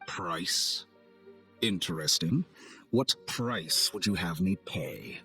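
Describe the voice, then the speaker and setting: Suave, low-voiced scheming villain. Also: male voice, sharp tone, exaggerated voice. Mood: intrigued, calculating. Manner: theatrical, condescending, measured and formal. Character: suave, arrogant male villain scientist, coldly amused schemer. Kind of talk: video game cutscene acting. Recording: studio voice over game music.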